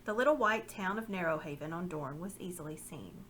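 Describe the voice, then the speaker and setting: A woman reading a children's novel aloud in a lively, expressive way. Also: lowish voice, fairly quick, slight background noise. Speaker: reader.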